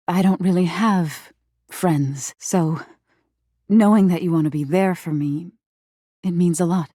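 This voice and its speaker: A woman playing a living nightmare spirit in a video game, seductive and eerie, with a breathy, intimate delivery and a wounded, menacing edge. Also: dark tone, no echo, studio quality. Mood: tender, shy. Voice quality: breathy, husky, low. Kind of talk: video game character acting.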